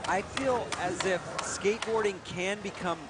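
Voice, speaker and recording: A man's voice: high voice, measured pace, very noisy. A man co-commentating a live skateboarding event, chatty and asking joking questions. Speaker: sports commentator. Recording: music loud behind.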